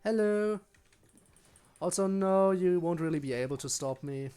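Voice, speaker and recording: A man's voice: sits high, a little slow, warm tone, smooth. A man streaming a game with casual live commentary, making wry asides and talking to himself.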